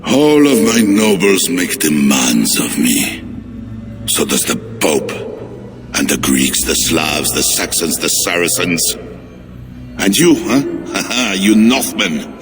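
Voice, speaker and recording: A sly, unstable deep-voiced king. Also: animated, slow pace. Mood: scheming. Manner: swings between frightened pleading and sly, mocking scheming. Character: unstable, scheming medieval male king. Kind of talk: video game cutscene. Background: music.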